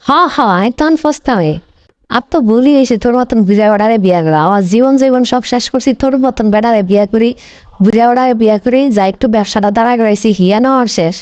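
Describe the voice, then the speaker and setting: Woman playing a high-voiced, fast-scolding Bangladeshi cartoon wife. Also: a little mellow, dry recording, lo-fi sound, lightly acted. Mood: angry, bitter. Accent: regional Bangladeshi Bengali dialect. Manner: wailing, scolding, repetitive complaining. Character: shrill, dramatic, blaming wife and shop-owning boss. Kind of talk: comic cartoon dialogue.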